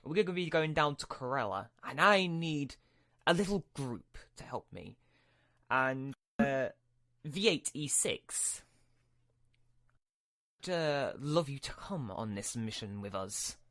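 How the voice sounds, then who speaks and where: A man playing a scheming, imperious Sith lord in a Minecraft roleplay, speaking in halting, deliberate phrases. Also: medium pitch, lively intonation, fairly bright tone, dry recording, clean studio sound. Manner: halting, deliberate, with drawn-out pauses. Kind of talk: hobby Minecraft roleplay acting.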